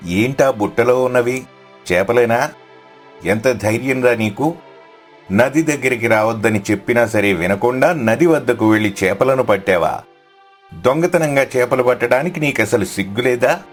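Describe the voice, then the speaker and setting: A man's voice: medium pitch, lightly acted. A man playing a haughty, bullying village fisherman in scripted story dialogue.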